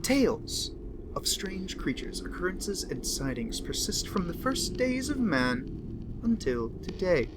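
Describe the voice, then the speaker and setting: A man voicing a pompous, unhinged occult diarist who scorns humanity, in theatrical, deliberate horror narration that lingers on words. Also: high voice, big pitch swings, very noisy, heavily acted. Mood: fervent, menacing. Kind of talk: dramatic horror story narration.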